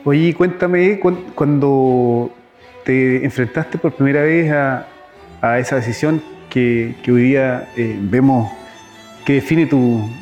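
A man's voice: medium pitch, slow pace, a little mellow, dull audio, faint music behind. A man hosting an interview, asking long questions with frequent hesitations and restarts. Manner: hesitant, many "eh" fillers and restarts. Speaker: interview host.

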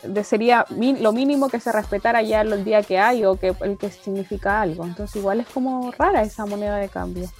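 A woman co-hosting a radio show, earnestly explaining a topic in a measured way. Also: mid-range voice, a little mellow, faint music behind.

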